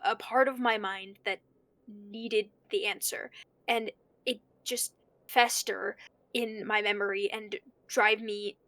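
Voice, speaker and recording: A woman's voice: a little high, fairly bright tone, no room sound. A woman playing a panicky, conscience-stricken young student in an audio drama, stammering and rambling anxiously. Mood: haunted, uneasy. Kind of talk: audio drama monologue, naturalistic.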